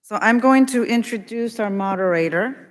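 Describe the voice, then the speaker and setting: A woman's voice: fairly high pitch, normal speed. A woman emceeing a conference, making loose, chatty announcements and introducing a speaker. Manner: chatty, loose, with many fillers. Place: conference hall. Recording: long hall reverb.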